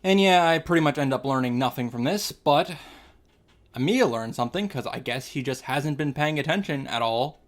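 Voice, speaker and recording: A woman's voice: low-pitched, moderate pace. A woman giving casual, sarcastic commentary on a visual novel, reading along and dropping profane asides. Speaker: visual novel reaction host.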